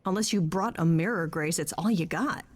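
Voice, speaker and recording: A woman's voice: lowish voice, brisk, close to an everyday voice. A woman voicing a loyal, nerdy young best friend in a video game, with a wry, teasing, naturalistic delivery. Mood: wry, nervous. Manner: wry, teasing, hesitant asides.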